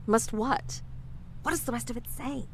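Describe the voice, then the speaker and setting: A woman's voice: on the high side, normal speed, a little hiss or hum, natural voice, rough recording. A woman acting as a determined, confident researcher and translator in an audio drama. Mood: urgent, surprised.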